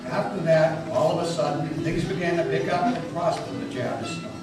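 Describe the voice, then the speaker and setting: A man's voice: fairly high pitch, expressive, fairly quick. A man giving a dramatic storytelling reading of a folk tale in a folksy, emphatic manner. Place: meeting house, live reading. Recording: echoey hall from far off, music behind. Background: guitar music.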